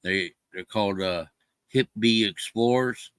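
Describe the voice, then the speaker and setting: Slow, unhurried man with smooth voice. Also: lowish voice, fairly bright tone, no echo. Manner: rambling with many fillers and restarts. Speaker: livestream co-host. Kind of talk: casual chat.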